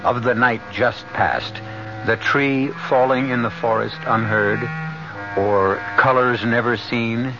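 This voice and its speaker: A man's voice: medium pitch, steady tone, takes it slowly, muffled recording. A man acting as the grave, musing host who narrates a mystery radio drama in scripted style. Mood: musing, ominous. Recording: band-limited 1970s radio broadcast over music.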